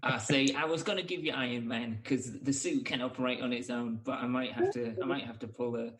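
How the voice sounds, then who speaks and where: A man's voice: medium pitch, steady tone, a little fast, fairly bright tone, low-quality recording. A man hosting a casual conversation as a podcast host. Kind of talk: casual chat.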